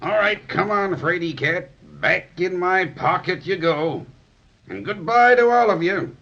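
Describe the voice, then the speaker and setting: Slow, bright, gentle giant. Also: male voice, sits high, lively intonation, heavily acted. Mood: kindly. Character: gentle, helpful, good-natured male giant. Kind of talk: 1930s children's radio serial acting. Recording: muffled 1930s radio recording.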